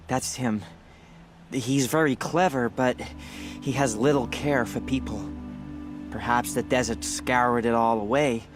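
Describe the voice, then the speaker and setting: A man playing a gentle, resigned refugee and devoted brother in a video game, with a subdued, halting delivery. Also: medium pitch, close to an everyday voice. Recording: clear music behind the voice.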